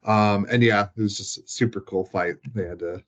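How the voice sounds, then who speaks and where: A man's voice: lowish voice, fairly even intonation, moderate pace, a bit bright. A man chatting casually as he recounts tabletop game sessions, rambling and peppering his talk with "like". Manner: rambling, frequent "like" as filler. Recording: dull, lo-fi sound.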